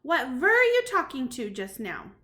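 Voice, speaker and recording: A woman's voice: high voice, wildly swooping pitch, measured pace, sharp tone, heavily acted. A woman playing a snapping, imperious witch queen. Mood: suspicious. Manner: snapping, commanding. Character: cruel imperious female witch leader.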